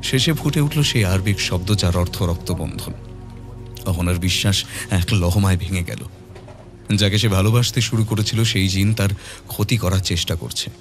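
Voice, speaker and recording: A man's voice: deep voice, moderate pace, soft background music. A man narrating a scripted ghost story in a dramatic audio-story style.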